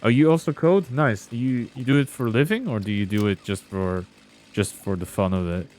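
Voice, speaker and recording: A young man from Belgium, streaming a game and chatting casually with his viewers in a relaxed way. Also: fairly low pitch, fairly quick, a little hiss or hum. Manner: relaxed, chatty, reading and answering chat. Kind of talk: casual gameplay commentary with chat.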